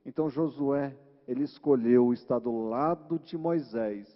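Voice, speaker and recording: A man's voice: mid-range voice, a little slow. A man with a Brazilian Portuguese accent preaching an informal sermon, teaching in a conversational way with frequent tag questions. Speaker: church preacher. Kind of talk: informal sermon retelling Bible stories. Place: large reverberant church hall. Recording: muffled, echoing church hall recording.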